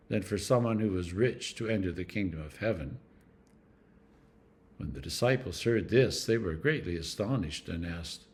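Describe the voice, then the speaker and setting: Expressive man reading scripture aloud. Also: on the low side. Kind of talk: scripture reading for a meditation series.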